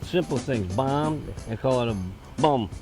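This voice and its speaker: A man's voice: mid-range voice, lively intonation, a little slow, over music. A man, interviewed in a documentary, who talks in a jokey, easygoing way.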